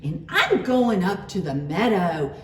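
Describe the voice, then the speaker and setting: A woman's voice: fairly low pitch, animated, takes it slowly, a little hiss or hum. A woman voicing a middle-sized male billy goat from a storybook, earnest and pleading. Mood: meek. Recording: roomy echo, distant from mic.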